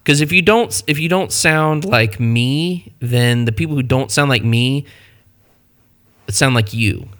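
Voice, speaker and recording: Midwestern male podcast co-host, bright tone, upbeat and jokey. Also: mid-range voice, slight background noise. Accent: Midwestern American. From Ohio, USA. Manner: upbeat, jokey, quick asides. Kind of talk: casual podcast chat.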